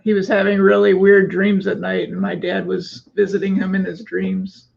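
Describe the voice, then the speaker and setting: A woman's voice: medium pitch, little pitch movement, moderate pace, gravelly, slight background noise. A woman in her fifties co-hosting a livestream, chatting freely and laughing often during a question game. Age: 50s. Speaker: co-host of a livestream. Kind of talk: casual question-game chat. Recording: dull lo-fi stream audio.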